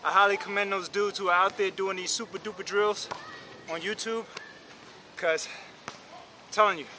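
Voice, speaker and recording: A man in his thirties on an outdoor basketball court, talking straight to the camera in an energetic, slangy, encouraging way while tired from drills. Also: sits high, normal speed, a little hiss or hum. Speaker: basketball vlogger. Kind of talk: casual coaching talk to camera. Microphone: camera mic close up.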